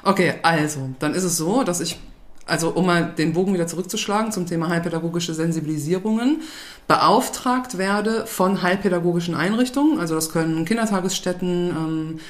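A woman in her forties, a guest in a relaxed interview, talking easily, chatty and quick to laugh. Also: fairly low pitch. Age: 40s. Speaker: guest, de-escalation trainer. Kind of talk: casual interview chat.

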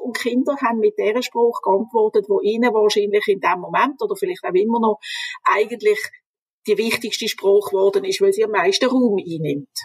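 A woman who calmly explains and gives advice as an expert guest in an interview. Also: mid-range voice, husky, no room sound, low-quality recording. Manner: calm, explanatory, advising. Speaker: reading and language development expert.

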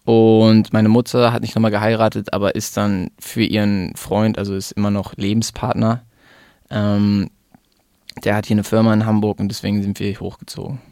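Young male rapper guest, low flat delivery, relaxed rambling chat, dry close mic. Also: normal speed, dry recording. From Germany. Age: 20s. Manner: relaxed, rambling with fillers and restarts. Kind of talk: casual interview chat.

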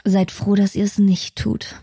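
A woman's voice: medium pitch, flat delivery, a little slow, mellow, slightly rough, no room sound, studio quality. A woman, co-hosting a podcast in casual chat, teasing and laughing often. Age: 30s. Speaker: podcast co-host.

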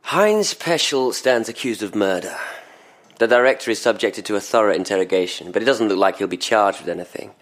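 Man acting polite investigator, audio drama, low voice, earnest, troubled, hesitant, courteous. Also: animated, a little fast, natural voice. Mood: pensive. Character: Karl Gruber, polite, troubled male investigator.

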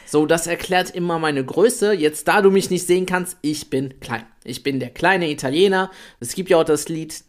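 A man in his late twenties co-hosting a podcast, talking in a chatty, energetic way about building an online business. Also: on the high side, moderate pace, hardly any pauses. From Italy. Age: 20s. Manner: energetic, chatty, swears lightly. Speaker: podcast co-host. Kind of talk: casual podcast chat.

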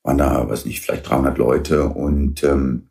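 A middle-aged man, English-born and raised in Germany, telling anecdotes in a relaxed interview, with many fillers and playful quoted voices. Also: rumbling low, moderate pace, vocal fry. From England. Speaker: guest. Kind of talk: casual interview storytelling.

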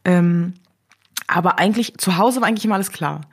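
Young woman guest, lowish voice, reflective and halting, many fillers. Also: breathy edge. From Germany. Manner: hesitant, searching for words with many fillers. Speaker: interview guest. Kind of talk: reflective interview conversation.

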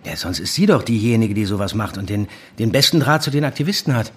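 A man's voice: lowish voice, animated, quick pace, fairly bright tone, husky. A man playing a brusque, probing handler of an undercover informant in a naturalistic audio drama, colloquial and prone to swearing. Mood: probing, suspicious.

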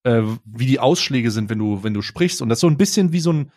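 A man's voice: medium pitch, animated, quick pace, darkish tone. A man hosting a podcast in casual chat, chatty and hesitant, recorded over a remote call. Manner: chatty, hesitant, laughs easily. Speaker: podcast host.

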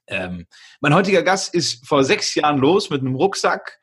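A man's voice: fairly high pitch, big pitch swings, normal speed, fairly bright tone, slightly rough. A man hosting a podcast, giving an upbeat, chatty introduction and asking short questions. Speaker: podcast host. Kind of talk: podcast interview.

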